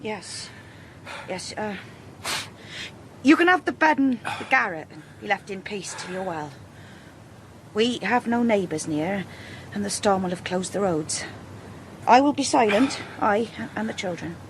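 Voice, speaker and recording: A woman playing a kind, devout peasant mother in a cottage, speaking gently and homely. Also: medium pitch, expressive, unhurried, pauses often, slight background noise. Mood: obedient. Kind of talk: radio drama acting.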